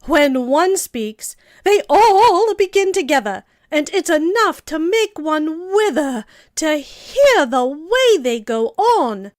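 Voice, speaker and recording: A woman voicing a haughty, bossy tiger lily in a storybook, scolding with slow emphasis. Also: high voice, sing-song, dry recording, studio quality, voice pushed up, heavily acted. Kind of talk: storybook character voice.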